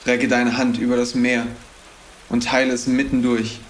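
A man's voice: medium pitch, flat delivery, moderate pace, a little hiss or hum, lightly acted. An actor playing a fanatical young male crusade leader, praying and reciting scripture with fervent, chanting intensity in a radio drama. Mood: chanting prayer. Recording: roomy reverberant recording.

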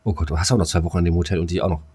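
A man's voice: low-pitched, steady tone, racing, a little mellow, husky. A middle-aged man chatting as a podcast co-host, telling stories in coarse, slangy, exasperated fashion. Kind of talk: casual chat, storytelling.

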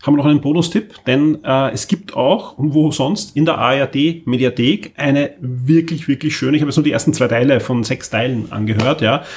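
A man's voice: mid-range voice, brisk, hardly any pauses. A man with an Austrian accent hosting a casual podcast discussion, repeating phrases for emphasis. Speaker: podcast host.

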